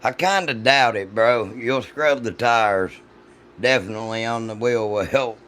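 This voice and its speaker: A man with a deep, rough voice chats casually and jokingly with his livestream audience in a folksy, salty way. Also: unhurried, fairly bright tone. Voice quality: deep, rough voice from vocal cord polyps. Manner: folksy, joking, foul-mouthed. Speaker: livestream host reading and answering chat.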